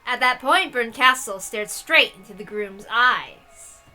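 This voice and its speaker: A woman with a high, bright voice reads a visual novel aloud as host. She tells the story expressively and speaks casually when commenting on it. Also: animated, slow pace, soft background music.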